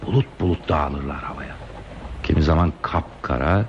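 Deep voiced lonely train dreamer in a radio play, musing and poetic, dull hissy recording. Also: male voice. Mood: musing, wistful. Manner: musing, poetic, slow pauses in monologue. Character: lonely, talkative man haunting a trackside, dreamily devoted to trains. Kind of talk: radio theatre acting.

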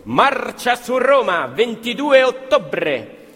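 A man narrates a stage play with emphatic, declamatory delivery and a voice that ranges widely in pitch. The sound comes from a live theatre performance, with a long reverb around him. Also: big pitch swings, takes it slowly, fairly bright tone, faint music behind.

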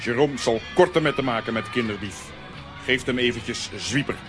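A man's voice: medium pitch, moderate pace, loud music behind the voice, close to an everyday voice. An actor playing a good-natured strongman who talks in clipped, telegraphic broken sentences in a children's radio play. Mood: unflappable. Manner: telegraphic, unflappable.

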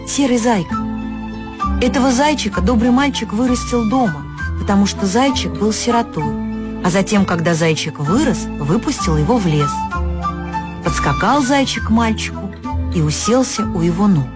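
A woman narrating a folk fairy tale for an audio story, expressive and lifting her pitch on key words. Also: normal speed, music in the background.

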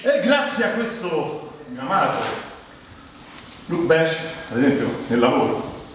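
A man with a Roman accent and a slightly high voice performs a comic monologue about himself on stage. His delivery is conversational and exasperated. The recording is distant, with heavy hall echo and clear background noise. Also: very animated, a little slow. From Rome, Italy. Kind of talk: comic autobiographical theatre monologue. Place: live stage performance in a theatre. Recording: echoey theatre hall, distant mic, noisy.

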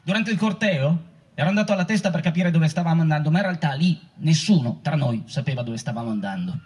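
Husky, high-voiced ex-protester. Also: male voice, animated, fast, a little mellow. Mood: grave, remembering. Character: male former protester recalling arrest and chaos. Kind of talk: dramatic reading of oral history testimony.

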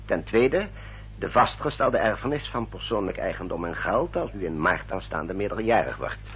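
Formal English solicitor, low lively intonation, measured explanations, courteous, radio drama. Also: male voice, a little hiss or hum. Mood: explanatory, measured. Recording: dull, muffled old radio recording.

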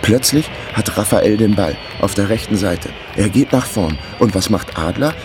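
A man's voice: fairly low pitch, animated, normal speed, background noise. A man playing a wry amateur detective who narrates his case in a dry, laconic way in a radio crime drama. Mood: agitated.

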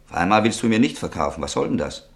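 Deep, creaky, impatient customer. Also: male voice, sing-song, racing. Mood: impatient, irritated. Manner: insistent, impatient, argumentative. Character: impatient, suspect man pressing to buy a revolver. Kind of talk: German radio drama acting.

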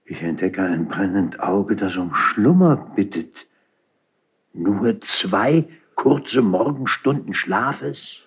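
A watchful royal courtier speaks low and very slowly in formal, measured verse. His husky, deferential voice sits in a dull, muffled radio-play sound. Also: animated, full character voice. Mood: deferential, concerned. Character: deferential, watchful male courtier serving a troubled king. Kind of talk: classical German verse radio drama.

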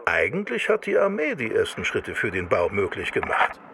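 A proud older man played by an actor tells his anecdote for a radio play. His pitch swings very widely and his voice crackles with fry. He is animated and deferential to authority, and there is clear noise on the recording. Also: moderate pace, exaggerated voice. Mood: eager, confiding. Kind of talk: dramatised anecdote with acted dialogue.

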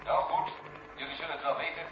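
An actor playing a businesslike astronaut in flight, giving clipped reports over a space radio link, in a radio play. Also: male voice, fairly high pitch, fairly even intonation, fast talker. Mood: resigned. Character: Don, crisp, businesslike male astronaut in flight. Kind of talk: 1970 radio play acting. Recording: echoey, dull, noisy radio link.

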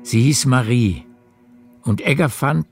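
A man narrating a literary radio drama with measured, deliberate phrasing. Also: mid-range voice, soft background music.

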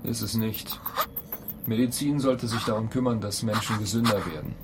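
A man's voice: on the low side, steady tone, normal speed, lightly acted. A man playing an irritable, ambitious astronaut in a radio play, blunt, impatient and argumentative. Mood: insistent. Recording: clear noise bed behind the voice.

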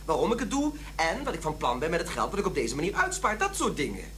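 Radio drama role: irritable middle-aged office boss and husband off cigarettes, animated and blustering, faint hum behind. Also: male voice, a little high, fast talker. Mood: earnest, defensive. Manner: blustering, defensive. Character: irritable office manager and husband quitting smoking. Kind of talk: radio play acting.

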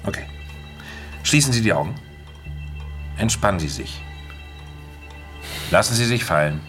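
A calm male therapist in a radio drama. His low voice has wide inflection, measured and coolly soothing. Faint music lies behind it. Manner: measured, guiding. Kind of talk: German radio drama acting.

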